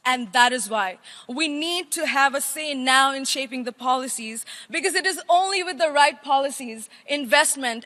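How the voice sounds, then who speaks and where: A young woman gives a formal, earnest prepared speech with emphatic, measured phrasing. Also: high voice, a bit bright. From Nepal. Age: young adult. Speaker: youth chair giving an opening address. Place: large assembly hall, speaking to delegates. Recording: echoing large hall, long reverb.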